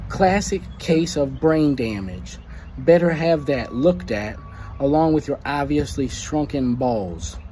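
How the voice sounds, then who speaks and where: Male creator, brash trash-talker, mellow tone, faint noise behind. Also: a little high, rough recording. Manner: brash, taunting. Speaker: internet creator. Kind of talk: mocking smack-talk video.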